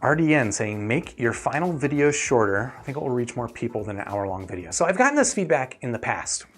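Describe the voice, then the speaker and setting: A man hosts a casual live stream in a mid-pitched voice, with music playing behind him. He explains his thinking conversationally, as if chatting with his viewers, and often checks in with them. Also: big pitch swings, brisk, husky. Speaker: host of an earphone review live stream.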